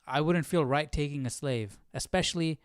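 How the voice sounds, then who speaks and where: A man's voice: fairly high pitch, normal speed, clean tone, no echo, studio quality. A man hosting a casual Bible study livestream, thinking aloud in a musing, questioning way. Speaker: host of a live Bible study.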